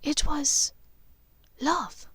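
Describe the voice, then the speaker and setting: A woman's voice: high-pitched, extremely slow, a bit bright, no room sound, voice pushed up, full character voice. A woman voicing a refined, wistful middle-aged witch in an audiobook, speaking precisely and expressively. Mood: solemn, hushed. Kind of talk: audiobook character dialogue.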